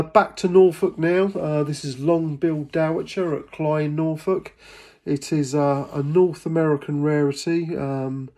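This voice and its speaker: A man's voice: mid-range voice, darkish tone. Middle-aged English man, hesitant and enthusiastic. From England. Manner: hesitant, many ums and uhs, enthusiastic. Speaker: birding vlogger. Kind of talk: casual voice-over narration.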